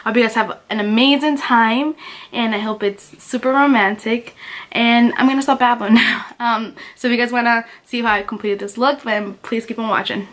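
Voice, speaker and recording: A woman's voice: a little high, a bit bright. Woman in her twenties, congested, casual tutorial chat, rambling fillers, recorded at home. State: congested from a cold. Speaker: beauty vlogger. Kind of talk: makeup tutorial narration. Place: bedroom at home.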